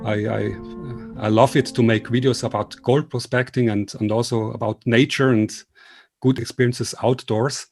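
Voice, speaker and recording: Swiss man, earnest and halting interviewer. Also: mid-range voice, little pitch movement, gravelly, darkish tone, soft background music. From Switzerland. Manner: earnest, polite, halting speech. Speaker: interviewer and video series host. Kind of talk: informal remote interview.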